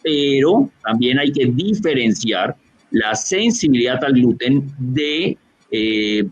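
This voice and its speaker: Man, Colombian Barranquilla accent, doctor explaining, frequent fillers, medium pitch, muffled video-call audio. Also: animated, slow, husky. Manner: explanatory, many fillers and restarts. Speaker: gastroenterologist lecturer. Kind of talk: online medical Q&A answer.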